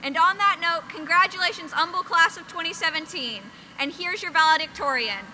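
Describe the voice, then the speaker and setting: A woman's voice: very high-pitched, a little fast, sharp tone, a little hiss or hum, rough recording. A young woman graduating student giving an upbeat scripted speech at a graduation ceremony. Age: young adult.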